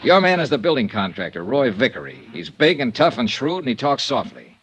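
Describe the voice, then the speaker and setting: An American actor playing a tough, shrewd insurance investigator in a 1950s radio drama, brisk and commanding. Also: male voice, mid-range voice, sing-song, fairly bright tone. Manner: brisk, commanding, clipped delivery. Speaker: lead actor and narrator. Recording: vintage radio recording with faint noise.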